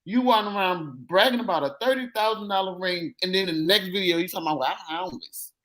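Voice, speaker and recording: A woman in her fifties with a deep, powerful voice, chatting bluntly and repeating her points for emphasis. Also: quick pace. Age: 50s. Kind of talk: casual gossip chat.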